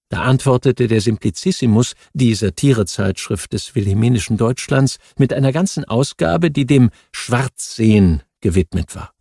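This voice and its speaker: A man reads non-fiction history as a scripted audiobook, measured and articulate. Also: mid-range voice, a little mellow, no echo, studio quality. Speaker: audiobook narrator.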